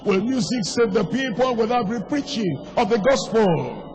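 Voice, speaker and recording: An older man, a pastor with a strained, hoarse voice, preaching an impassioned sermon with repeated phrases and pointed questions. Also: high voice, expressive, normal speed. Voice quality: strained, worn voice. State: hoarse, voice going. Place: large hall, preaching to a congregation. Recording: long echoing reverb of a large hall.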